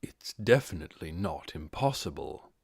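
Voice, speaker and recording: A man voicing a composed, brooding undead overlord in a measured, commanding manner for a fantasy audiobook. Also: low-pitched, sing-song, creaky, slightly rough, no echo, studio quality, pitched below the performer's own voice, full character voice.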